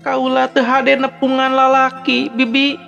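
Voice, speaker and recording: A man voicing a gentle, troubled young noblewoman in a told story, refined and hesitant, with a Sundanese accent. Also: extremely high pitch, monotone, a little slow, gravelly, in a large hall, muffled recording, soft background music, pitched above the performer's own voice, full character voice. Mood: troubled, demure.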